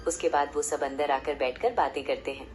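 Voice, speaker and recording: A woman's voice: deep voice, fairly even intonation, fairly quick. A woman narrating a story in a scripted storybook style. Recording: music behind the voice. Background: loud background music.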